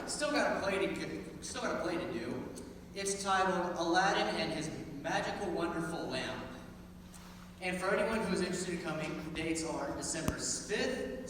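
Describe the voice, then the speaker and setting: A teenage male theater student giving an informal, slightly halting monologue on stage. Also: high-pitched, expressive. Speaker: high school theater student. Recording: echoey hall, distant mic, clear background noise.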